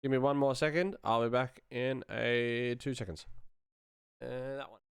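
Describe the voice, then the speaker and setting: A man's voice: mid-range voice, fairly even intonation, unhurried, gravelly, clean tone, dry recording, clean studio sound. A man giving a casual sound design tutorial on a livestream, rambling and thinking aloud as he works.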